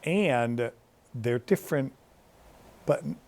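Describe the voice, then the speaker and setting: A man's voice: mid-range voice, expressive, takes it slowly, darkish tone. A man hosting a studio talk show, chatting with guests and asking leading questions. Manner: conversational, asks leading questions. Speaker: host. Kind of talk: interview chat.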